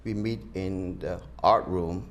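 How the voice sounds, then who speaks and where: Deep-voiced older man, Barbados-born pastor. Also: fairly even intonation, slow, noisy background. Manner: earnest, hesitant with many restarts. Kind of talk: interview answers.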